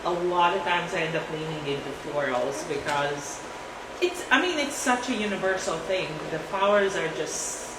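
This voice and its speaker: Guest, woman, 40s, Latvian accent, lowish voice, echoey distant room sound. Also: lively intonation, moderate pace. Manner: laughs often, restarts sentences. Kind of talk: casual chat. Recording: echoey room from far off, background noise.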